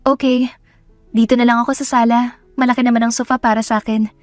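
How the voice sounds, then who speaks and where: A woman's voice: fairly high pitch, little pitch movement, fast, no room sound, soft background music, lightly acted. A woman voicing a hurt, defiant young mother in a romance audio drama, speaking emphatically and indignantly. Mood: reserved.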